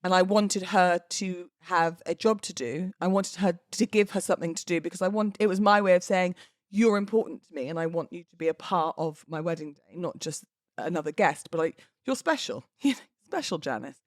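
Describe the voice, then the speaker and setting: A woman's voice: fairly low pitch, fairly quick, no echo, clean studio sound. A British woman hosting a podcast on her own, chatting in a playful, theatrical way. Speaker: podcast host and wedding celebrant. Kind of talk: chatty solo podcast talk.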